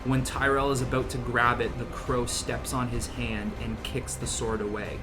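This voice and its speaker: A man's voice: medium pitch, little pitch movement. A young man hosting a casual podcast with friends, jokey and profane, reading a script aloud and chatting, with music behind. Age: young adult. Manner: jokey, profane, quick to laugh. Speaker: podcast host.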